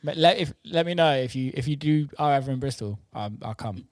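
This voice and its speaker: A man's voice: medium pitch, expressive, brisk, dry recording. Enthusiastic man, dry close sound. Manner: enthusiastic, informal, sweary. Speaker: interviewer, DJ and label owner. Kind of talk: casual chat interview.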